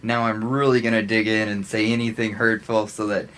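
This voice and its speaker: An American man chatting casually as a podcast co-host, often halting as he searches for his words. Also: fairly low pitch, flat delivery, a bit bright.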